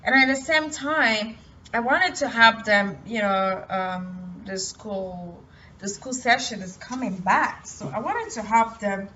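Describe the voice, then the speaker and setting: A young woman from Cameroon talking about her life in a personal motivational vlog, halting and full of restarts. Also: mid-range voice, a bit bright. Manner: hesitant, halting, many restarts. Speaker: vlogger. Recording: distant, muffled, light noise.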